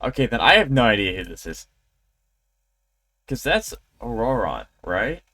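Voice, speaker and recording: A man's voice: medium pitch, big pitch swings, unhurried, bright, forceful tone, dry recording. A man chatting casually as a game streamer, rambling in a conversational way. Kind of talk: casual livestream chat. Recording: game music behind voice. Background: video game music.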